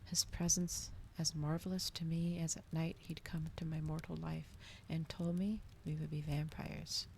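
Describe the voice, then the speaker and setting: A woman doing a soft, whispered ASMR reading as a streamer, slow and breathy, in a hushed manner. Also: sits low, level tone, a little hiss or hum, poor audio. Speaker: streamer host reading aloud.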